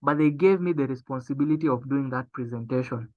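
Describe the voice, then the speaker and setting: A young man giving a motivational business training talk. He speaks emphatically, repeats his key phrases and slows down to stress them. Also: mid-range voice, dark tone. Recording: tinny phone-like sound.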